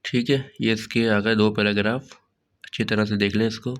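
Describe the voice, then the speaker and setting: A hoarse man with a sore throat walking students through a study guide in a relaxed way, often saying "theek hai". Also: fairly low pitch, normal speed. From Pakistan. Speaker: online tutor. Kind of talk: casual study-guide walkthrough.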